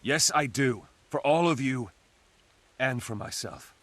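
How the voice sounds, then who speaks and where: An actor playing a noble, selfless hero in a video game, speaking in a solemn, measured way. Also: male voice, medium pitch, lively intonation, fairly bright tone, no room sound. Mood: solemn regret. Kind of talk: video game cutscene acting.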